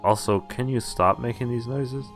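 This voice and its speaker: A man's voice: medium pitch, moderate pace. A young man reading a visual novel aloud on a live stream with casual asides, speaking into a headset. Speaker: game streamer. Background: game music.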